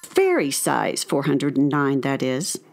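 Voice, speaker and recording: A woman narrating a children's story from a script in a measured, expressive storytelling manner. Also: a bass voice, creaky, faint music behind.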